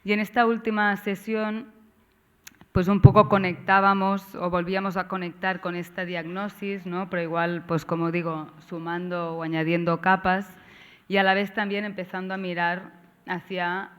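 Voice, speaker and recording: A woman with a Catalan accent, whose first language is Catalan, opens a seminar session as its organizer, talking haltingly with frequent tag questions and restarts. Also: on the low side, little pitch movement, smooth. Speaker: course organizer and moderator. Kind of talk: seminar introduction.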